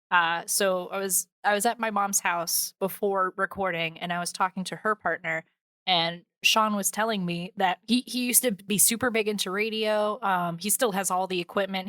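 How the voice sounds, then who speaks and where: A woman's voice: medium pitch, fairly bright tone, no echo. Woman chatting casually, dry close sound. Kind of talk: casual chat.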